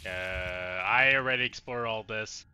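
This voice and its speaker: A man's voice: on the low side, measured pace, noisy background. A man streaming a let's play, giving casual, irreverent commentary with plenty of swearing. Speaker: game streamer. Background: faint music.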